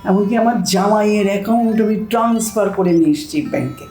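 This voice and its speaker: A woman's voice: medium pitch, fast, warm tone, echoey room, soft background music, natural voice. A woman playing a bossy, boastful older housewife in a comic audio drama, scolding and wheedling with self-important chatter.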